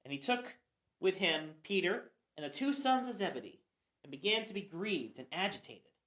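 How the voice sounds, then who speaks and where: A woman's voice: fairly low pitch, big pitch swings, moderate pace, a bit bright. A woman narrating scripture for a church passion play reading. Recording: roomy echo.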